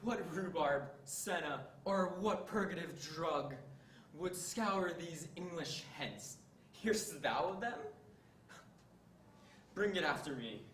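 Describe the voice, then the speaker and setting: A teenage boy playing a defiant, desperate king, reciting slowly and barking commands, recorded from a distance in an echoey hall. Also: on the high side, lively intonation, a bit bright. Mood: commanding. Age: teens. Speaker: student in a monologue contest. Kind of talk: stage monologue recitation. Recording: echoey room, distant mic, faint hiss.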